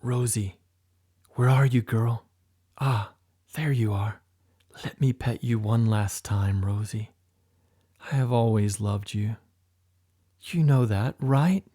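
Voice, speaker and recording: A man voicing an emotional special agent in an audiobook, tender and frightened by turns, talking higher than his narration. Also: takes it slowly, long pauses, dark tone, breathy edge.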